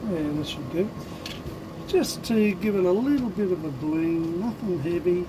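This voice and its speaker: A man with a croaky voice, talking through his lure painting in an unhurried, thinking-aloud way. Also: high voice, animated, background noise. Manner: unhurried, thinking aloud while working, with many ums. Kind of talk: casual hands-on tutorial commentary.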